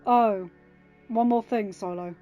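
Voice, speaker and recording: A woman voicing a tender, calm, resolute warrior husband in a story reading, earnest and reassuring. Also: medium pitch, takes it slowly, muffled recording, faint music behind, natural voice. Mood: firm. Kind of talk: dramatised audiobook reading.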